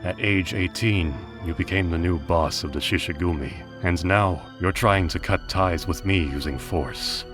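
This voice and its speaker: A cold middle-aged business patriarch from an anime drama, acted by a man, speaks with formal restraint. His voice sits very low and gives little away, over clearly audible music. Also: unhurried, few pauses. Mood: cool, measured. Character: cold, detached middle-aged male deer business magnate and father. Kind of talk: dramatic anime fan dub acting.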